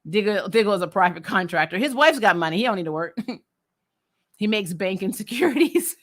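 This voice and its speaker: A woman hosting a recap vlog, chatting casually and answering comments with strong opinions, in an emphatic, blunt, animated manner that includes swearing. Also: mid-range voice, brisk. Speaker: host of a TV show recap vlog.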